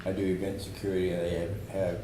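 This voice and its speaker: Flat-voiced plainspoken man in his sixties. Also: deep voice. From Idaho. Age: 60s. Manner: halting, plainspoken. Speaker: focus group participant. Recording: echoey hollow room with background noise.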